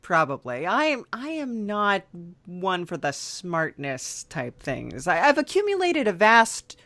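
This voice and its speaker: Female podcast host, unhurried and hesitant, sympathetic, playful interview style, very dry recording. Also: mid-range voice, huge pitch swings, fairly bright tone, dry recording. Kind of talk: casual, playful comedy interview.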